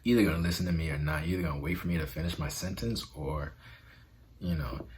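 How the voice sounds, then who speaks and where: A man's voice: low-pitched, fast talker, background noise. A man vlogging in an earnest, conversational way about self-acceptance, with a stutter. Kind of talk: motivational vlog talk.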